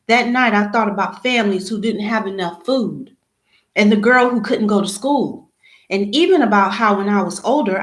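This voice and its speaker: A woman reading a children's picture book aloud, phrase by phrase, with expressive emphasis at the start of sentences. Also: medium pitch. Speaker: read-aloud storyteller. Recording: echoey room.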